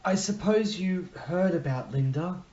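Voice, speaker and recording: A wounded young lover in a melodramatic audio play, played by a man. The voice rings with clear room echo. The sound is dull and lo-fi. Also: a little high, animated, a little slow, darkish tone. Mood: gentle, sorrowful. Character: wounded young male lover, secretly a murderer. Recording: roomy, echoey, dull lo-fi sound.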